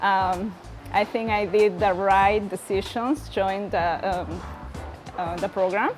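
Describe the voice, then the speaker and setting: A woman's voice: mid-range voice, steady tone, takes it slowly, rough recording. A woman from Peru, a native Spanish speaker with a Spanish accent, talking about her trades program with many hesitant fillers and non-native phrasing. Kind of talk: testimonial interview. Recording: music playing underneath.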